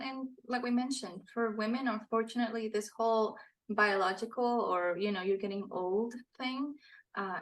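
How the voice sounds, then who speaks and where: A woman's voice: medium pitch, a bit bright, low-quality recording. A woman from Costa Rica, a podcast guest and author, telling her story in a casual interview with frequent laughs. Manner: earnest storytelling, laughs often.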